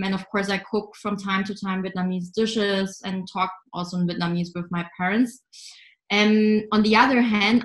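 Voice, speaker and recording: A woman in her twenties from Germany, answering interview questions in a measured way with frequent ums, on a laptop webcam at home. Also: mid-range voice, roomy sound. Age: 20s. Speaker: interview guest, investor. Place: home room by a window.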